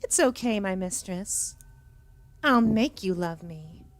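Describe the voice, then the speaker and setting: A woman voicing a soothing, possessive spider woman in a hushed, coaxing manner for a visual novel. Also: medium pitch, animated, takes it slowly, dry recording, voice pushed down.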